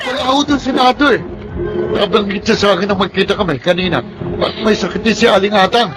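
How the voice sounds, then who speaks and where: A man's voice: high-pitched, fast talker, crowd noise, close to an everyday voice, rough recording. A man acting an earnest, respectful, brave young son in a Filipino radio drama. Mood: urgent, alarmed. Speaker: drama actor and first-person narrator. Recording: loud music under the voice.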